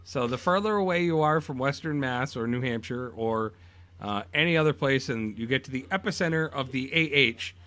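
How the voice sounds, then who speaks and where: A young man chatting casually as a co-host, animated, swearing freely, full of fillers and restarts. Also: mid-range voice, brisk. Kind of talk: casual chat.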